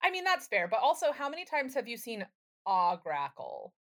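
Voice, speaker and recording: A woman's voice: on the high side, sing-song, normal speed, a bit bright. A woman co-hosting a podcast in casual, sweary chat, with a wry, self-deprecating and playfully dramatic manner. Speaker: podcast co-host.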